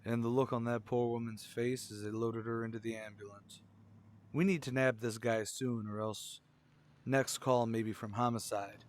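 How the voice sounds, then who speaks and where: A man playing a gruff, hard-boiled police detective in an old-time radio drama, speaking in terse lines. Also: medium pitch, flat delivery, moderate pace, close to an everyday voice. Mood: worried. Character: gruff, dogged male police detectives on night duty.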